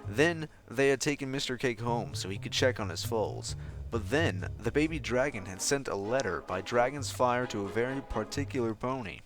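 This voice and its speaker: A man reads a story aloud as an expressive narrator. His voice sits at an average pitch for a man, and music plays behind it. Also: moderate pace. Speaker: fan fiction reader. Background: background music.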